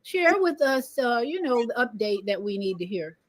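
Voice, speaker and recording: A woman's voice: on the high side, big pitch swings, normal speed. A woman hosting a talk show, speaking informally and earnestly to her viewers in an encouraging, conversational way. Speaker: show host. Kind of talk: informal monologue to viewers and interview.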